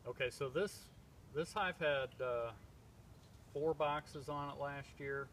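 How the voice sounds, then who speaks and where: A man in his thirties explaining step by step as he works outdoors in spring woods, talking in a plain, methodical way with frequent pauses. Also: a little high, fairly bright tone, a little hiss or hum. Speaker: beekeeper vlogger. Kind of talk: casual demonstration. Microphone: far camera on a tripod. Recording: distant outdoor mic with clear background ambience.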